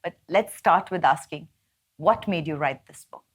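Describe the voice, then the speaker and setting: Host: woman, notably low voice, enthusiastic, keeps affirming her guest. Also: normal speed, breathy edge. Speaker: book club host. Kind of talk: hosted interview.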